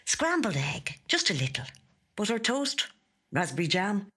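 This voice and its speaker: Worried farmer husband for a story reading, played by a woman: gentle, coaxing, solicitous, husky-edged. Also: medium pitch, sing-song, measured pace, pitched above the performer's own voice, heavily acted. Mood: gently coaxing.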